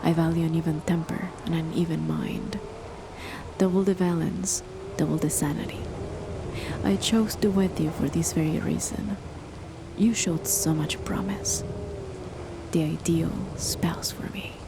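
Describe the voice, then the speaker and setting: A woman voicing a cold, controlling female chemist spouse in a soft, measured, often whispered ASMR horror roleplay, her tone condescending. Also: deep voice, vocal fry. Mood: menacing. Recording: noisy with rain behind. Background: steady rain.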